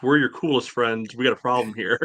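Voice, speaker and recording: A man's voice: medium pitch, brisk, pushed and bright, low-quality recording. A man co-hosting a casual sports podcast, wry and joking with his friends. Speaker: podcast co-host.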